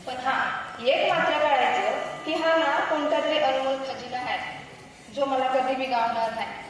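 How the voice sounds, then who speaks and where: A woman playing a humble, warm, unschooled village aunt with a rural Maharashtrian accent in a devotional stage skit. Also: high voice, fairly even intonation, unhurried. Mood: humble, grateful. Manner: rustic, exclamatory, chatty address. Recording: echoey hall, distant mic, faint noise.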